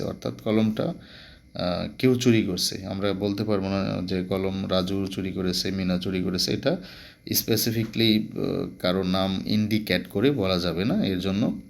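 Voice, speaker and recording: Deep-voiced Bangladeshi man teaching. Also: vocal fry. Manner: explanatory, mixes English terms into speech. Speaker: English grammar teacher. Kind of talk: instructional grammar lesson, step-by-step explanation.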